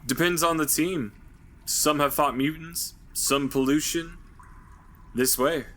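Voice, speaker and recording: A man's voice: on the high side, slow, fairly bright tone. A man voicing a seasoned ranger leader, plain-spoken and direct. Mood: steady.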